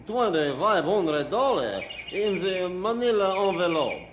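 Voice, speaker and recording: An actor playing a jovial, trusting lumber camp boss in broken English, in old-time radio style. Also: male voice, sits high, animated, measured pace. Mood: hearty, trusting. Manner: broken English, tags questions with eh. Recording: noisy old radio recording.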